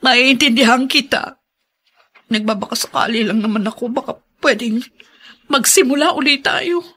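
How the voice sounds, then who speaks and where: A woman's voice: on the high side, moderate pace, bright, forceful tone, slightly rough. A woman with a Filipino accent playing a remorseful, imploring mother in a melodramatic radio drama. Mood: pleading. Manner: tearful, imploring. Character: remorseful, pleading mother of a grown daughter.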